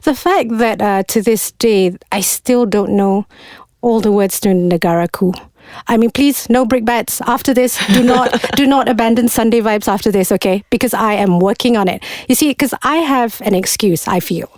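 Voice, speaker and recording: A woman's voice: fairly high pitch, moderate pace, darkish tone, no echo, studio quality. A woman who grew up in England and hosts a podcast, talking in a chatty, easygoing way and laughing easily. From Malaysia. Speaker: podcast host. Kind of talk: casual chat.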